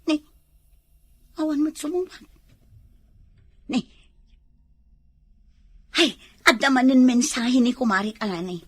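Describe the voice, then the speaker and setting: A suspicious, scolding village wife from an Ilocano comedy drama , acted by a woman. Her voice is high and bright, and she speaks slowly. The recording is close and dry. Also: animated, no room sound, full character voice.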